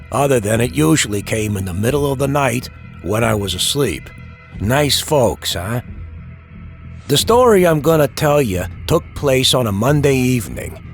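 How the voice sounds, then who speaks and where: Streetwise first-person horror narrator played by a man: wry, dramatic, very varied intonation, soft music bed. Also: mid-range voice. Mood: wry, sarcastic. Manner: dramatic, wry asides, shifting emphasis. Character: Butch, streetwise man recounting his frightening boyhood night.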